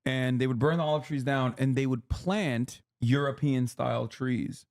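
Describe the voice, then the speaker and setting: A man in his thirties streaming live, explaining history in a rapid, lecturing way with many fillers and restarts. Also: medium pitch, darkish tone. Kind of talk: casual livestream explanation.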